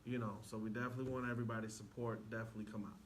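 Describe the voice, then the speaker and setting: A man's voice: on the low side, flat delivery, rapid-fire. A man talking casually and earnestly as an actor guest in an interview. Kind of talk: casual, earnest promotional talk. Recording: faintly noisy room recording.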